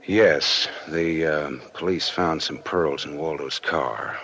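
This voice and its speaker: An actor playing a hard-boiled, wry but decent American private detective in a 1940s radio drama, terse and slangy. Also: male voice, very deep voice, slow pace, a bit bright, exaggerated voice. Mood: gentle, guarded. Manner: terse, wry, slangy tough-guy delivery. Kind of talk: 1940s radio noir acting and narration. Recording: dull vintage 1940s radio recording, organ-like music behind.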